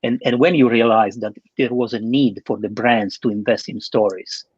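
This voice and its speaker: Male webinar host and interviewer, husky voice, muffled close-sounding recording. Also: medium pitch, fairly even intonation, moderate pace, no echo. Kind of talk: hosting an online interview.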